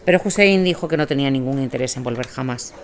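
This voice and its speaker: A man's voice: medium pitch, fast. A man reading scripted documentary narration as the narrator. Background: music under the voice.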